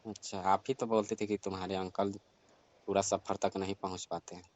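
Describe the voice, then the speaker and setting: A man's voice: low-pitched, flat delivery, fairly quick, darkish tone, natural voice. A man playing an eager young man placating someone in a casual phone chat. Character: eager, impatient young man sweet-talking an auntie. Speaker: caller in a staged comic phone call. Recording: dull lo-fi phone-call audio.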